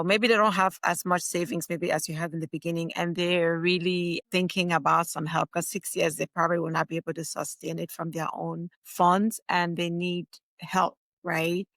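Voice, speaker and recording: A woman's voice: lowish voice, steady tone, normal speed, few pauses, a bit bright, no echo. A woman from Cameroon with a Cameroonian accent, hosting a podcast interview and asking long, enthusiastic questions. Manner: enthusiastic, repeats praise, asks long rambling questions. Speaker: podcast host. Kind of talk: interview conversation.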